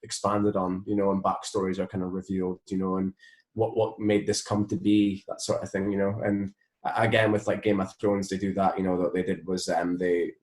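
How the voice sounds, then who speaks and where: A man's voice: low voice, level tone, a little fast, darkish tone. A Scottish man chatting casually as an interview guest, rambling appreciatively with lots of "you know", in a roomy, echoing recording. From Scotland. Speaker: interview guest, musician and voice actor.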